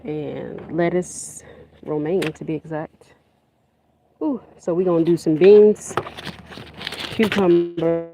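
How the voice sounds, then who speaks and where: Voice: medium pitch, slow, pauses often. An adult teacher of young children chatting casually on a phone livestream while working in a backyard garden, rambling and restarting sentences. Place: outdoor yard garden. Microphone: handheld phone livestream. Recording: outdoor noise from a running generator. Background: generator hum and vehicle noise.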